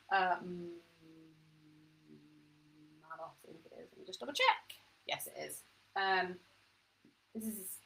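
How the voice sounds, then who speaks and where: Chatty woman with a bright voice. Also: fairly low pitch, creaky, slight background noise, rough recording. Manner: chatty, self-deprecating, thinking aloud. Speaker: home education teacher. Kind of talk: informal teaching to camera.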